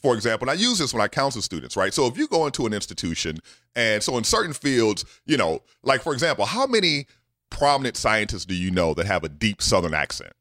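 A middle-aged man from the United States, a scientist guest telling his story in an animated way, often checking in with "right?". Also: mid-range voice, fast, dry recording, clean studio sound. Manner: animated, with many repeated "right?" asides. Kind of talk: storytelling in a podcast interview.

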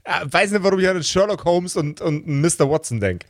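Higher-pitched man in casual chat. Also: expressive, brisk, dry recording, clean studio sound. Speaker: podcast participant.

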